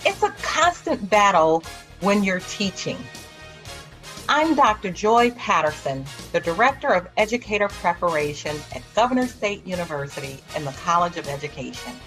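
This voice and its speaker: A woman's voice: on the low side, animated, moderate pace, a bit bright, faint music behind. Upbeat woman opening a podcast. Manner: upbeat, promotional. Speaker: podcast co-host, education director. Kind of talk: scripted podcast intro.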